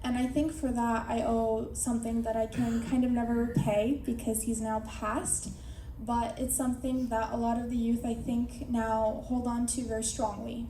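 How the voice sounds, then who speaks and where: A woman's voice: fairly high pitch, level tone, moderate pace, mellow, slight background noise. A young woman giving an earnest, reflective tribute speech at a memorial gathering. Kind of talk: prepared personal tribute speech. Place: large hall at an evening gathering. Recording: echoey hall from far off.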